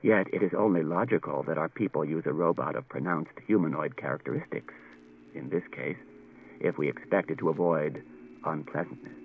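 A man reading as a polite, logical male robot, speaking quietly, low and measured in a formal way. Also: vocal fry, narrow, tinny audio, a little hiss or hum. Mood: calm formal.

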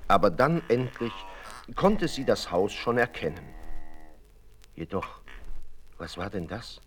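Slow, very expressive male storyteller. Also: on the low side. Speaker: narrator. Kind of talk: fairy-tale narration.

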